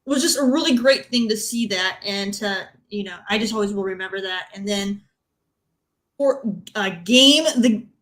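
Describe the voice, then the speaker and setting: A woman's voice: mid-range voice, normal speed, a bit bright. A woman chatting casually as a podcast panelist, telling stories in a blunt, informal way. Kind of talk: casual chat.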